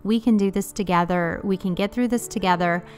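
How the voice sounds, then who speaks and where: A woman's voice: medium pitch, brisk, a little mellow, soft background music. A woman in her thirties giving an earnest, encouraging voice-over for her cleaning vlog. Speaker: cleaning vlogger.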